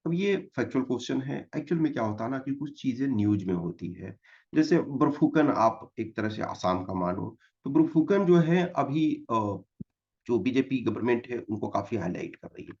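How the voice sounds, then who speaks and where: Indian male exam tutor, informal and explanatory, creaky voice, mid pitch, on a dull lo-fi mic. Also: expressive, a little mellow. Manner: informal, mixes Hindi with English terms, reads questions aloud slowly. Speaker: exam coaching teacher. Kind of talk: explanatory lecture working through exam questions. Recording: dull, lo-fi microphone sound.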